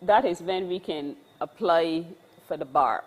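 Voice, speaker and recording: A woman's voice: lowish voice, measured pace. A woman in her fifties with a Barbadian accent, hosting an interview with playful, prompting questions. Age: 50s. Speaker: host. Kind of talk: casual interview conversation.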